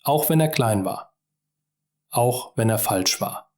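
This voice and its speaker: A man tells a simple teaching story for German learners at a very slow, unhurried pace. Every sentence is short and deliberate. He turns to the listener often and coaxes them along. His voice sits in a middle pitch. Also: animated, darkish tone. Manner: deliberate, encouraging direct address to the listener.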